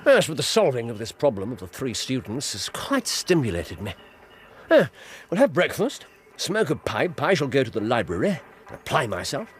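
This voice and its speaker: A man playing a brilliant, courteous English gentleman detective in a radio drama, precise, theatrical and deliberate, with a husky voice. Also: medium pitch, huge pitch swings. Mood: reflective, satisfied. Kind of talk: British radio drama acting.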